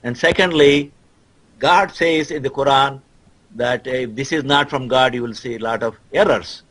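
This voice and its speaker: Halting, husky-voiced man explaining his case. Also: mid-range voice, a bit bright. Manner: halting, insistent. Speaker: guest scholar. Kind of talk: explanatory debate.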